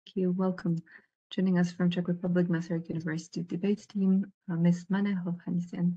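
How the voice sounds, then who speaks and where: Husky, low-voiced woman hosting formally. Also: little pitch movement, a little fast, warm tone, lo-fi sound. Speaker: debate tournament organizer and host. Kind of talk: formal event announcements. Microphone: video call.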